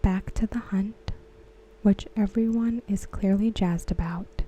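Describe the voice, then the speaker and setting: A woman whispering softly and slowly as she recaps and discusses a TV episode for an ASMR video. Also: mid-range voice, fairly even intonation, slight background noise, poor audio. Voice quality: breathy. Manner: slow, soft whispering. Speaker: ASMR creator. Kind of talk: soft-spoken ASMR recap.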